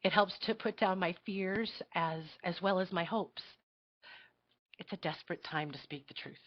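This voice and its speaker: A woman playing an earnest, fearful, idealistic young girl in a live table read of a satirical play, with a halting, pleading delivery. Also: on the low side, lightly acted. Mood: wistful, hesitant. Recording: dull lo-fi audio.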